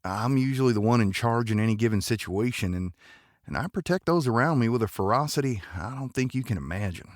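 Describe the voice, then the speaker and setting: A man playing a protective, smitten, folksy romantic lead in an audio drama, earnest and halting, with a gravelly voice. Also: mid-range voice, lively intonation, no room sound, studio quality, lightly acted. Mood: concerned.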